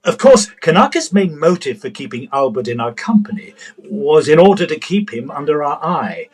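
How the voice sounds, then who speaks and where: An actor playing an earnest gentleman ghost hunter who narrates a period drama in a husky, formal, dramatic storytelling manner. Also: a little high, very animated, moderate pace. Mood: narrating.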